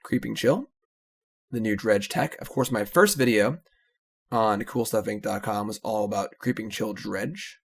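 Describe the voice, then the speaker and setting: Low gravelly man casually commentating a card game. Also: measured pace. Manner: relaxed, analytical, trailing off with "so". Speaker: card game streamer commentating his play.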